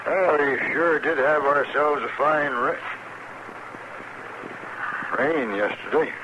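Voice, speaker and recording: A man's voice: a little high, takes it slowly. An actor playing a nervous, evasive American frontier man in a radio western, folksy, hesitant and defensive. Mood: uneasy, evasive. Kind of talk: old-time radio western acting. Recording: dull, hissy old radio recording.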